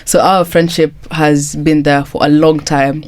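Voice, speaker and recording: A woman's voice: sits low, level tone, measured pace, darkish tone, no room sound. A young woman hosting a casual podcast, chatty and friendly, laughing often with her guests. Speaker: podcast host.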